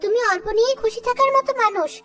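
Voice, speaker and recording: A woman's voice: extremely high pitch, rapid-fire. A woman voicing a bold, crafty, stubborn little mother bird in a children's cartoon, sly and defiant. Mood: sly, needling. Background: music.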